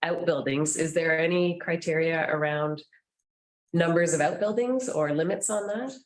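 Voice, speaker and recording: A woman's voice: deep voice, normal speed. A woman councillor asking a question hesitantly, with many fillers and restarts. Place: council chamber. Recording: echoey council chamber.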